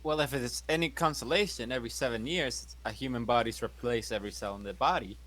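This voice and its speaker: A man's voice: medium pitch, fast talker, a crowd in the background. A man casually improvising in character at a tabletop game, speaking bluntly and with swearing. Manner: blunt, profane.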